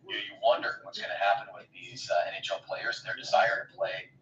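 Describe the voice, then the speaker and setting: A man with a slightly raspy voice giving relaxed hockey color commentary from his couch, hesitating often. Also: sits low, fairly quick. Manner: hesitant, many uh fillers, laid-back. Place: home, on a couch. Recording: distant lo-fi roomy mic with faint hiss.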